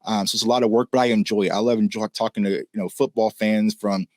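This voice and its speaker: A man from Oklahoma and Texas speaks as a football reporter in a casual interview. He talks quickly in a lowish voice with a very dry, close sound, spinning anecdotes conversationally. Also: little pitch movement, a little mellow, no echo. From Oklahoma and Texas, United States. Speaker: football reporter and YouTube host, as guest.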